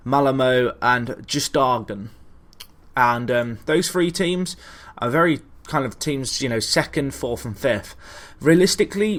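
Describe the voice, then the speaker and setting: A man hosting a gaming video with casual, chatty commentary on a football management game, hesitating and thinking aloud as he goes. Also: mid-range voice.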